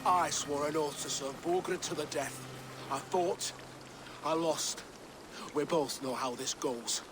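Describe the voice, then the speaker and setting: An actor playing a grim, loyal, defeated soldier in a video game, grave and terse. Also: male voice, a little high, slow pace. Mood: resigned. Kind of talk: dramatic video game acting. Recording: loud ambient noise like rain.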